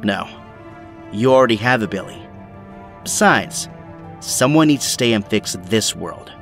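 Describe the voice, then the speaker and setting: A man's voice: lowish voice, expressive, a little slow, faint music behind. An actor playing a serious, resolute young male tech expert in an audio drama.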